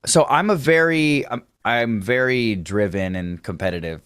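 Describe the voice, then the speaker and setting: A man's voice: medium pitch, normal speed, a bit bright, clean tone, no echo, studio quality. A man, chatting casually as a drummer guest on a video call, talkative and friendly with many fillers and restarts. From Houston, Texas. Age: late 20s. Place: home music studio room.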